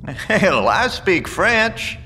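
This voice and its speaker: An actor playing a polite, folksy, obliging convoy leader in an audio drama. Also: male voice, sing-song, extremely slow, bright, forceful tone, full character voice. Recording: reverberant room with faint noise and rumble.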